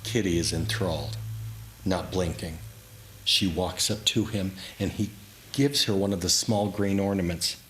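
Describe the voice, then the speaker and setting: A man giving a dramatic, reflective reading of his own memoir story, with deliberate pauses. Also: deep voice, steady tone, a bit bright. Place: indoors in a historic house, live reading. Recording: clearly noisy live room recording.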